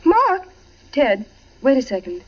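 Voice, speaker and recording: Evasive wife, vintage radio sound. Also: female voice, high voice, wildly swooping pitch, slow pace, full character voice. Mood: anxious pleading. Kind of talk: 1940s radio acting. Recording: muffled 1940s radio recording.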